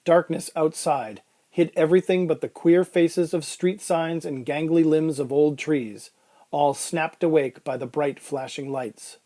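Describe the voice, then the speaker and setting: A man acting out an uneasy patient in an audio drama, brooding over his own surreal ordeal. His voice sits a little high but stays soft-edged and mellow. He narrates deliberately, in the first person. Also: fairly even intonation, lightly acted.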